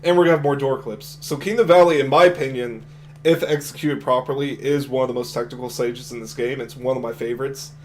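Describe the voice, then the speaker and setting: A man with a deep voice giving relaxed live commentary on his own speedrun, chatty and explaining techniques as he plays, often trailing off and restarting sentences. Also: fast talker, a little hiss or hum. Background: game music.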